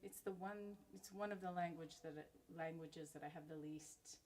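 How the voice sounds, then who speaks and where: Female singer, lowish voice, off-mic, relaxed planning talk. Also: normal speed, far from the mic, slight background noise. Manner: easygoing, talks in loose run-on phrases. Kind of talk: casual rehearsal chat.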